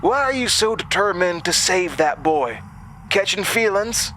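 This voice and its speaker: A man's voice: high voice, animated, unhurried, sharp tone, a little hiss or hum, pitched above the performer's own voice, full character voice. A man playing a cruel, crazed camp director in a horror audio drama, taunting with sinister relish. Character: cruel, crazed male camp director, coldly menacing and gleeful.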